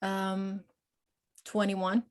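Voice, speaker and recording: A woman in her forties, born in Peru, speaks as a guest on a podcast. She talks openly and in a personal way, with lots of pauses and fillers, and her pitch sits mid-range for a woman. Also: monotone, soft background music. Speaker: guest, Marine veteran and nonprofit founder. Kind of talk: conversational podcast interview.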